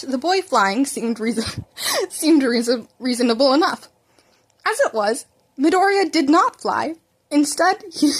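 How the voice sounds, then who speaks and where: Female amateur story reader, high voice, casual and slightly stumbling read. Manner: informal, stumbles over words. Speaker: amateur podfic narrator. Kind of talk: casual fan-fiction read-aloud.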